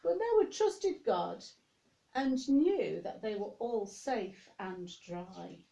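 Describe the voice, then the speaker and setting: Casting: female children's storyteller, higher-pitched, very unhurried, expressive, drawn-out emphasis, chatty asides to little listeners. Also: gravelly. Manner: expressive, addressing young listeners directly. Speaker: minister reading a story to young children.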